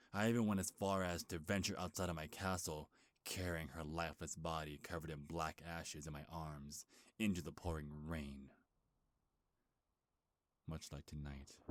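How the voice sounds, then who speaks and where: A man playing an ancient, bitter, grieving beast king in a dramatic ASMR roleplay, brooding and menacing with heavy sighs. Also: very deep voice. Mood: anguished.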